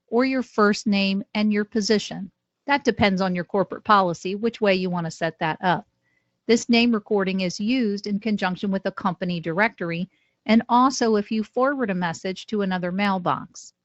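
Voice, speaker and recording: Woman, instructional narration, step-by-step explainer, mid-pitched, slightly muffled sound. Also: no room sound. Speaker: software trainer.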